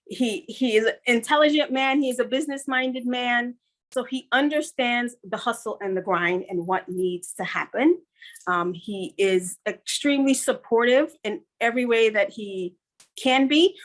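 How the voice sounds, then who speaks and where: A middle-aged woman from Guyana talks as a guest on an interview podcast. Her voice sits a little high. She is earnest and upbeat and often repeats a phrase to drive it home. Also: a bit bright. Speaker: guest, business consultant.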